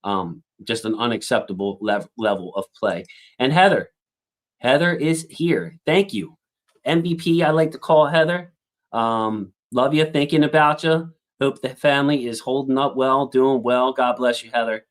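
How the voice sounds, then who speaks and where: A man hosting a casual football livestream, reading out viewer comments and thinking aloud with lots of fillers, and his voice has a slightly squeaky edge. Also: moderate pace. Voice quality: self-described squeaky voice. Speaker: football channel host reading live chat.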